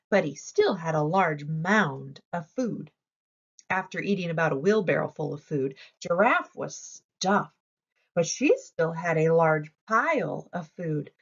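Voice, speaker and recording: A woman reads a children's picture book aloud. She goes slowly and deliberately, with plenty of movement in her pitch and an expressive storytelling delivery. The sound is slightly dull. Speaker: storybook reader.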